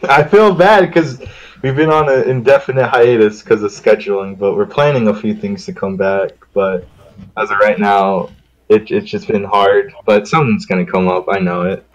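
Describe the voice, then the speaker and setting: A man's voice: on the low side, moderate pace, muffled recording. A man chatting casually and jokingly with friends during a group read-along, rambling a little. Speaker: streamer reading a visual novel aloud with friends.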